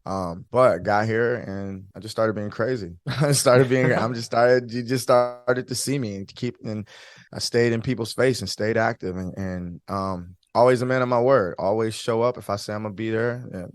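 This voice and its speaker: A man's voice: lowish voice, steady tone, moderate pace. A young man from Detroit, a DJ and fitness coach, chatting in an interview and often repeating his phrases. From Detroit, Michigan. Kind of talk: casual interview chat.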